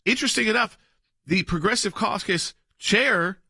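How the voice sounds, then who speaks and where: A man's voice: sits high, moderate pace, sharp tone, breathy edge, no echo, clean studio sound. A man hosting a political talk radio show, chatting casually with his co-host and reading out names. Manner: conversational, banters with co-host, reads out lists.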